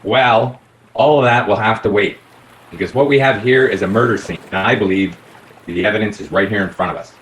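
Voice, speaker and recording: A man playing a shrewd, accusatory police inspector in a radio play, speaking with deliberate drama. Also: on the low side, fairly bright tone, lightly acted. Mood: commanding. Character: shrewd, theatrical police inspector unveiling a murder. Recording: roomy, faint background noise.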